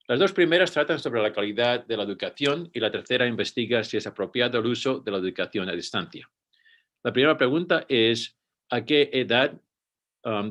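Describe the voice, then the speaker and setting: Spanish-born man giving a measured panel talk. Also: medium pitch, a bit bright. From Spain. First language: Spanish, with rusty fluency. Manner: measured, read-out delivery with occasional restarts. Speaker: school director and panelist. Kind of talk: prepared panel presentation.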